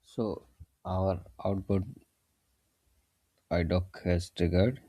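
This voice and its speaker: A man narrating a step-by-step software tutorial in a plain, methodical, explanatory way. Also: sits low, steady tone, drawn-out words, slightly rough, dry recording. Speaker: software instructor.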